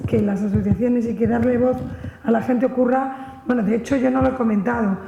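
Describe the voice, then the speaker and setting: A woman's voice: fairly high pitch, creaky, dark tone, highs cut off, slight background noise. A woman speaking reflectively as a panelist in a spontaneous discussion. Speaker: panelist, science radio project member. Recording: echoey hall with long reverb.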